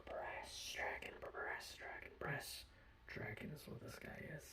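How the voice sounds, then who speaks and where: A man speaking in a breathy whisper, presenting his hobby in a casual, rambling ASMR style. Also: unhurried. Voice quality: breathy whisper with soft low speech. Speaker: hobbyist miniature painter presenting his collection. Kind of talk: whispered ASMR show-and-tell.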